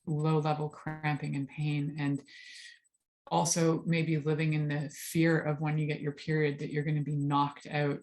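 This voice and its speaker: A woman's voice: deep voice, little pitch movement, a little slow, darkish tone, faint music behind. A woman hosting a podcast, talking candidly and casually on her own, with many fillers. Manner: candid, frank, chatty with filler words. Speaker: podcast host. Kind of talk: casual solo talk.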